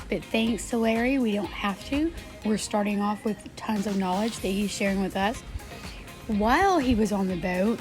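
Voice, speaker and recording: A woman, chatting excitedly to camera in a casual vlog while losing her voice. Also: mid-range voice. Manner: chatty and excited, addresses viewers directly. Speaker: vlogger.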